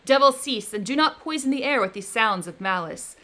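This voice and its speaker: A woman's voice: mid-range voice, moderate pace, close to an everyday voice. Woman reader voicing a young male scientist: defiant, furious, firm, emphatic. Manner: loud, emphatic declamation.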